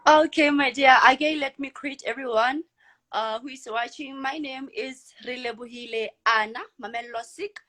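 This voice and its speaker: Young woman, kinda high voice, confident and chatty, laughs easy. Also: moderate pace. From Lesotho. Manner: confident, expressive, laughs often. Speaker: guest, model and entrepreneur. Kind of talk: casual interview answers.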